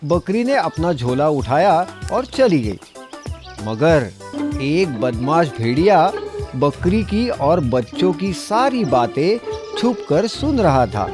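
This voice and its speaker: A man's voice: fairly high pitch, very animated, slow pace, music in the background. A man narrating a scripted children's story.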